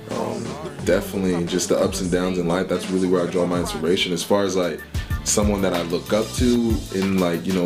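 A young man talking casually about his music label, with lots of "you know" fillers. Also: deep voice. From Liberia. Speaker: rapper and label owner. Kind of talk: casual sit-down interview. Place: small home studio indoors.

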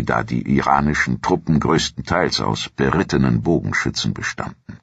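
Man, extremely low voice, documentary narration, measured and dramatic, dry but slightly dull recording. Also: a bit bright, breathy edge, dry recording. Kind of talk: scripted historical documentary narration.

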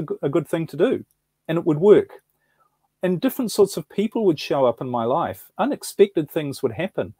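A middle-aged man talks over a video call from a small room, mellow and a touch higher-pitched. He tells his story reflectively, often stopping to restart a thought. The sound is dry and close. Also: slightly rough, dry recording. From New Zealand. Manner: reflective, frequent restarts and self-corrections. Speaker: guest. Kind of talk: interview storytelling.

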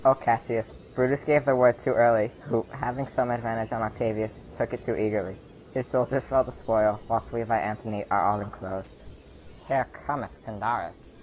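A man's voice: medium pitch, fairly even intonation, normal speed, a little mellow, slight background noise, close to an everyday voice, low-quality recording. A man playing a loyal, grieving Roman officer in a declamatory radio Shakespeare drama. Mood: dutiful. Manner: declamatory verse delivery. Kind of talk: old-time radio Shakespeare acting.

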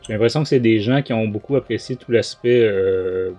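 A man with a Quebec French accent hosting a book podcast, giving his critique in a casual, halting way. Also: on the low side, mellow, faint music behind. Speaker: podcast host and book reviewer.

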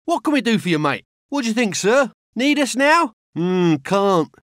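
An easygoing infantry soldier in a war game, matter-of-fact and colloquial. His laid-back lines move freely up and down in pitch, in a dry studio sound. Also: big pitch swings, moderate pace, a bit bright, no room sound. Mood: easygoing, ready. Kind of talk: video game unit barks.

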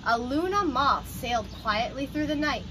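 A young woman with a high voice reads a picture book aloud for small children. Her delivery is expressive and aimed at young listeners. She is recorded outdoors on a porch, with clear ambient noise behind her. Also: normal speed. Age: young adult. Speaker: teacher reading picture books aloud. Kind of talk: children's storybook read-aloud. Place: outdoors on a porch by glass doors.

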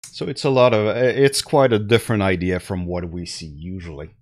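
A man's voice: low-pitched, steady tone, normal speed. A man in his thirties from Canada, chatting casually on a live stream about tech deals through a headset, a little congested, with many fillers and restarts. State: slightly congested. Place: small room at home.

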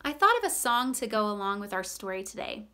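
A woman in her thirties hosting story time for young children, expressive, animated and encouraging, in simple phrases. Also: medium pitch, a little fast. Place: small room with cloth backdrop. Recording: roomy echo, music behind.

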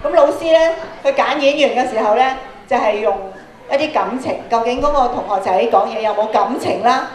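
A woman's voice: fairly high pitch, brisk, few pauses. A middle-aged woman with a Hong Kong Cantonese accent, leading an interactive workshop for students and prompting them with questions. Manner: instructive, prompting with questions and tag particles. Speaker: radio drama workshop speaker. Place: large indoor auditorium, on and before the stage. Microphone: handheld microphone through PA. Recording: roomy auditorium PA sound.

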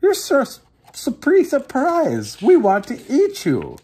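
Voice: high voice, wildly swooping pitch, takes it slowly, warm tone, pitched above the performer's own voice, heavily acted. A frantic little old woman shrieks after her runaway baking, played by a storyteller. Her voice jumps far above his plain talk and swings wildly.

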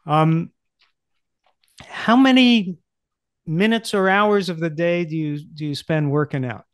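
Older male podcast host. High, smooth voice, playful and halting delivery, dry close-miked sound. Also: dry recording. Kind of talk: casual conversational interviewing. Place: indoor book-lined home office, video call. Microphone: close desk microphone.

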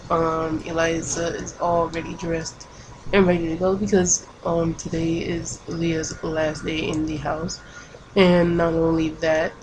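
A woman doing casual game commentary, keeping her voice hushed at night with bursts of excitement. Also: lowish voice, noisy background. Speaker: let's play gamer. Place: home at night.

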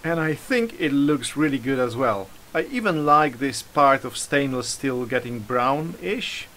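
A man casually narrating a DIY vlog in an informal, halting, self-deprecating way. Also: medium pitch, animated, sharp tone, slight background noise. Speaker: motorcycle hobbyist, video host.

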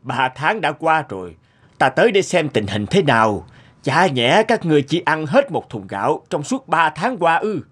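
A man voicing a dignified middle-aged nobleman, measured and authoritative, in an animated folk tale. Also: fairly high pitch, breathy edge, exaggerated voice. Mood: stern, pondering.

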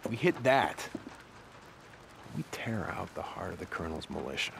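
An actor playing a rugged, defiant biker survivor in his thirties in a video game, with a gruff voice. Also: low-pitched, sing-song, normal speed, noisy background, full character voice. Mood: grim, determined. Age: 30s. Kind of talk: dramatic video game cutscene acting.

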